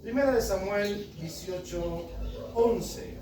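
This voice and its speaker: A Honduran man in his thirties delivers an evangelical sermon with fervor, turning again and again to his congregation. His voice sits a bit high. The recording is distant and roomy, with steady background noise. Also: takes it slowly. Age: 30s. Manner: fervent, calls out to the congregation with repeated asides. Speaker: lay preacher.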